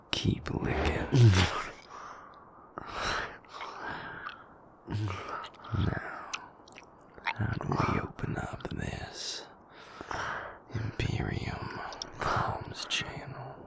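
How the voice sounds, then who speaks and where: A man doing a whispering, gravelly sadistic captor. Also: lowish voice, steady tone, exaggerated voice. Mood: gloating. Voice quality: creaky, whispery. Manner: slow, taunting menace. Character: sadistic, mocking male captor gloating over a fallen prince. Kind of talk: audio roleplay drama.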